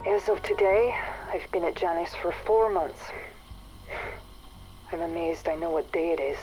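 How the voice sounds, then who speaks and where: A woman's voice: lowish voice, a little mellow, a little hiss or hum, close to an everyday voice, rough recording. A woman playing an uneasy, whispering researcher. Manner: uneasy, confiding, half-whispered. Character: anxious, isolated female researcher on a secret island. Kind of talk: game audio log.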